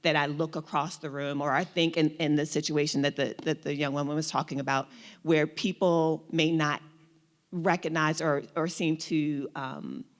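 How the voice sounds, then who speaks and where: A woman's voice: low voice, steady tone, moderate pace. A woman panelist giving earnest, reflective advice, often repeating words as she goes. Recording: reverberant hall.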